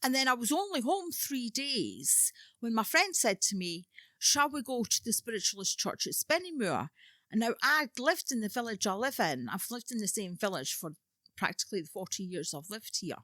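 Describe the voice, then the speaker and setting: A woman in her fifties, a podcast guest telling her story in relaxed, casual chat. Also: on the high side, normal speed, dry recording. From Scotland.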